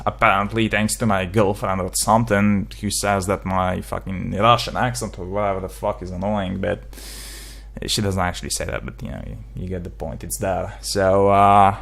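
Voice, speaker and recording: A man's voice: fairly low pitch, moderate pace, vocal fry, fairly bright tone. A young man from Slovenia with a Slovenian accent, giving his opinion in a casual gaming vlog, rambling with lots of fillers and swearing freely. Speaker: gaming video creator.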